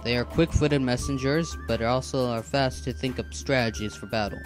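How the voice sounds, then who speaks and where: A man playing a patient, earnest animal assassin mentor in an animated drama, explaining things in a measured, instructive way. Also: mid-range voice, close to an everyday voice. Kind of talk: scripted animated drama dialogue. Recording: background music under the voice.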